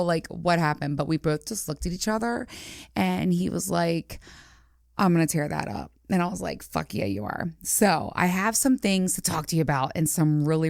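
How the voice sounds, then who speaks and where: A woman hosting a podcast, talking candidly and casually and telling stories in an animated, chatty way. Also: lowish voice, creaky, no room sound, clean studio sound. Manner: animated storytelling, acting out quoted reactions.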